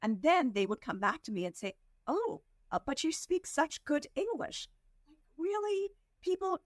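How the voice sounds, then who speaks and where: A woman from India, a fundraising executive, giving earnest, measured answers as a podcast guest and slowing down to stress her points. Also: high voice, expressive, dry recording, studio quality. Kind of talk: reflective interview answers.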